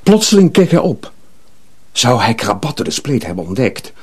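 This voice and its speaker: Male story narrator: dramatic, suspenseful, medium pitch with a lively range. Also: husky. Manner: deliberate, suspenseful.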